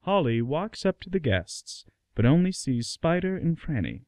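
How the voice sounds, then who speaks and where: A man's voice: a little high, big pitch swings, moderate pace, background noise. A man narrating a scripted radio play.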